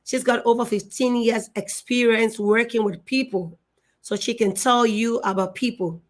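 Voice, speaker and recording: A woman's voice: a little high, steady tone, moderate pace. A middle-aged woman hosting a live online show, effusive and emotional, repeating herself and restarting her sentences. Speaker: show host.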